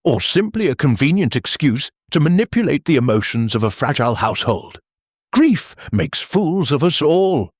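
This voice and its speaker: Guy doing a snooty scornful man in a mystery audiobook, dismissive, bit husky, dry close sound. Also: on the high side, big pitch swings, moderate pace, no echo, pitched above the performer's own voice. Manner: scoffing, haughty. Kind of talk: audiobook mystery character dialogue.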